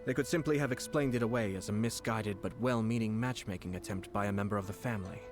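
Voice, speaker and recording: An actor playing a calm, calculating nobleman in a video game, speaking in a measured, formal, deliberate way. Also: fairly low pitch, close to an everyday voice. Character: composed, cunning nobleman, calm and calculating commission head. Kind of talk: scripted video game dialogue. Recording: background music under the voice.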